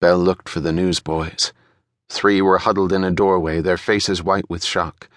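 A man's voice: low-pitched, little pitch movement, a little slow, no room sound, clean studio sound. A man reading a thriller audiobook as narrator in a dramatic, scripted storytelling style.

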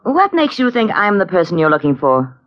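A guarded, nervous noir woman with very animated pitch. She is clipped and defensive in the theatrical manner of 1940s radio drama. The old recording sounds dull. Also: brisk. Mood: guarded, indignant.

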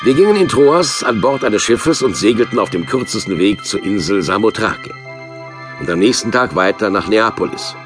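A man playing a physician who narrates Bible adventures in a measured, reflective way for a children's audio drama. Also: lowish voice, animated, music in the background, natural voice. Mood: solemn, narrating.

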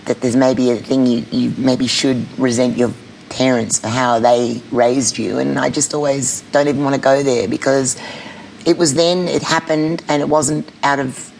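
A middle-aged woman with a husky voice, speaking bluntly and candidly as an interview guest. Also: rumbling low, steady tone, normal speed, a little mellow, slight background noise, rough recording. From Australia. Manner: blunt, candid, laughs easily. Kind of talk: casual chat.

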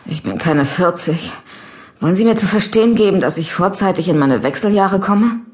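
A woman's voice: on the low side, animated, close to an everyday voice. An actress playing a troubled middle-aged woman patient in a German horror audio drama, brooding and inward, slipping into murmured asides. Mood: wounded, challenging. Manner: slow, inward, murmured asides between spoken replies. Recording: long reverb, loud music behind.

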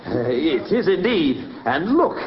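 An actor playing a kind, overly generous Victorian shopkeeper who is politely effusive. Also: male voice, a little high, sing-song, a little slow, lo-fi sound. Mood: genteel.

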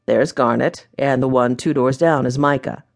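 A woman voicing a calm, kindly old grandfather in a children's audiobook. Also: very deep voice, normal speed, vocal fry, pitched below the performer's own voice. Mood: calm, agreeable.

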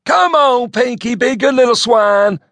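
A man's voice: extremely high pitch, measured pace, fairly bright tone, no room sound, pitched above the performer's own voice, heavily acted. A man voicing a bumbling rural cousin in an audiobook, with a folksy, ungrammatical country drawl. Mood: hearty, folksy. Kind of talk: audiobook character voice.

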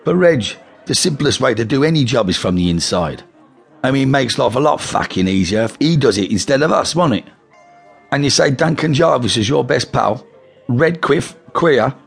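A man's voice: medium pitch, animated, moderate pace, gravelly, slightly rough, soft background music, pitched above the performer's own voice. A man voicing a cold, probing male gangster with a coarse, swearing manner, in a storybook reading.

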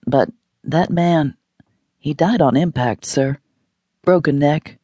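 An audiobook narrator voicing a young male medic who speaks softly and reassuringly. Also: on the high side, slow, no echo, lo-fi sound. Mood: gentle. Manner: soft, reassuring. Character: young male EMT, gentle and calm.

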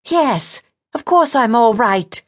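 Casting: spirited young period heroine, performed by a woman narrator, raised pitch, indignant, emphatic, defiant, audiobook romance. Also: animated, a little slow. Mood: snappish. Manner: emphatic, snappy, defiant, archaic polite wording. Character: headstrong, indignant young Regency lady with a quick temper.

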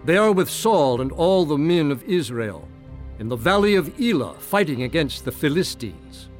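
Fatherly older man, careful instructor, scripture drama, lively intonation, faint music. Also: fairly high pitch, normal speed. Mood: concerned, instructing. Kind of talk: dramatized scripture audiobook acting.

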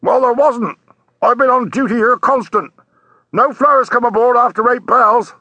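A merchant sailor in a story reading. The voice sits high and has a rough, husky edge. He speaks insistently, in salty, ungrammatical phrases. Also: moderate pace, sharp tone, no echo, exaggerated voice. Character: stubborn male merchant sailor on watch. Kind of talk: audiobook character voice.

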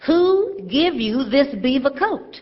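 A high, swooping Southern woman's voice as a stern, pious mother. She scolds with folksy emphasis in a stage drama, ringing in a reverberant hall. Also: animated, slow, highs cut off. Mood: suspicious, scolding. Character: stern, churchgoing, scolding mother of a grown daughter.

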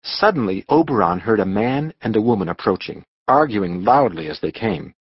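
A man reads scripted storybook narration expressively. His voice is husky and moves with lively intonation. The recording is dry and slightly dull. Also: lowish voice, moderate pace, no room sound. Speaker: audiobook narrator.

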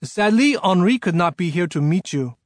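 A man voicing a warm, sincere middle-aged father and scientist with a French accent, courteous, as an audiobook character. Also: high-pitched, big pitch swings, moderate pace, no echo, clean studio sound, voice pushed up. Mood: apologetic, polite. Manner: earnest, courteous.

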